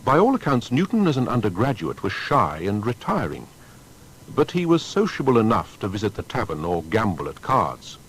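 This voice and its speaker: A man narrating a scripted documentary biography in a formal, measured storytelling manner. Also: fairly low pitch, wildly swooping pitch, fairly bright tone, slight background noise, low-quality recording.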